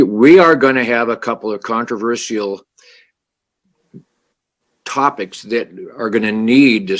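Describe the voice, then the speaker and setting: A man on a city council who speaks loudly and haltingly, often restarting his sentences, calling in to the meeting remotely. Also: fairly low pitch. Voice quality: loud voice. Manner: halting, many repeated starts, earnest. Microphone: remote video call.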